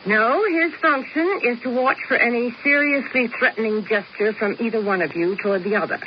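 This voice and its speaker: An actress playing a cool, commanding schemer who narrates in a deliberate, theatrical 1970s radio drama style. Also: female voice, on the high side, lightly acted. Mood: coolly authoritative. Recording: dull, noisy 1970s radio recording.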